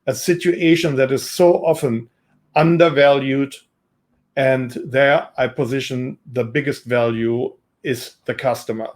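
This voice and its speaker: A man with a German accent introducing himself as an investor, speaking in a measured, businesslike way with frequent pauses and ums. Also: mid-range voice, fairly even intonation. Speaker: investor and entrepreneur. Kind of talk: self-introduction to camera.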